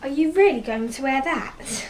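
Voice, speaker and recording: A woman's voice: sits high, animated, moderate pace, background noise. An actress playing a snobbish English schoolgirl in a radio drama, with a posh English accent and an affected, precise manner. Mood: cool disdain.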